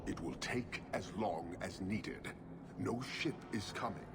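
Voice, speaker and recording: An actor playing a stern, contemptuous horned giant warlord in a video game, speaking in grave, clipped pronouncements. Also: male voice, lowish voice, slow pace, heavy background noise. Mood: cold disdain.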